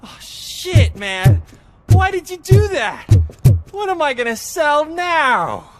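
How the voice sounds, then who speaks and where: A man's voice: very high-pitched, huge pitch swings, takes it slowly, faint music behind, heavily acted. A man playing an easily frightened but defiant small-time criminal in a video game, streetwise, pleading and blustering.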